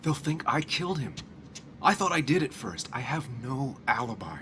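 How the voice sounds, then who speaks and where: An actor playing a guarded, coldly logical investigative journalist in a video game, speaking in a terse, matter-of-fact way. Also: male voice, medium pitch, big pitch swings, normal speed, sharp tone, slight background noise. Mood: defensive, insistent. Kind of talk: naturalistic video game acting.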